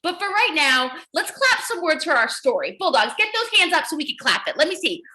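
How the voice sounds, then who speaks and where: A woman's voice: sits high, very animated, fairly quick, bright, forceful tone. A woman teaching young children in an interactive read-aloud, encouraging them with praise and questions and repeating their answers back.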